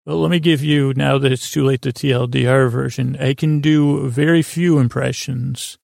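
Very creaky, gravelly man rambling softly along. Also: medium pitch, steady tone, dry recording, studio quality. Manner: meandering, halting, self-deprecating. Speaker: podcast host. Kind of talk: rambling bedtime monologue.

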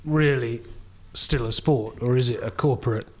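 A man's voice: mid-range voice, normal speed. A man hosting a casual panel interview in a polite, slightly hesitant manner. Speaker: host.

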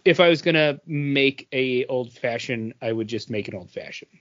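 A man's voice: mid-range voice, moderate pace, a bit bright, muffled recording. A man co-hosting a podcast, talking in an informal, conversational way. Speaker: podcast co-host. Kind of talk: casual chat.

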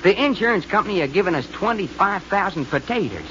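A man's voice: sits high, expressive, quick pace, sharp tone. An actor playing a brash, folksy, girl-crazy adventurer with a drawl in a 1940s radio drama. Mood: exuberant. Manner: drawling folksy slang, boastful. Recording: noisy, dull old-time radio recording.

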